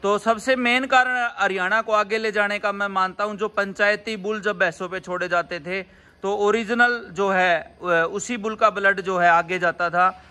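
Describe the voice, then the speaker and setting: A man's voice: sits high, fairly even intonation, moderate pace, pushed and bright, clear, resonant tone. A man interviewing at a farm, with an agreeable style and frequent affirming interjections. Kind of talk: casual interview.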